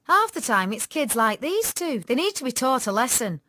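A woman's voice: high voice, sing-song, moderate pace, sharp tone, dry recording, clean studio sound, pitched above the performer's own voice, full character voice. A woman voicing a stern, unforgiving young male shop worker in an audiobook story, curt and officious.

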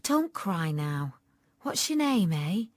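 A woman voicing a kindly adult policewoman in an audiobook story, gentle, coaxing and matter-of-fact. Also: lowish voice, very animated, takes it slowly, dry recording, studio quality, heavily acted. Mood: soothing.

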